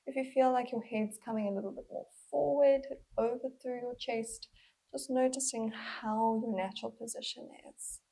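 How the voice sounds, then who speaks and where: A woman leading a guided body scan as a mindful movement instructor, speaking gently and unhurriedly with pauses, in a breathy, slightly husky voice. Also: on the high side, fairly even intonation, rough recording.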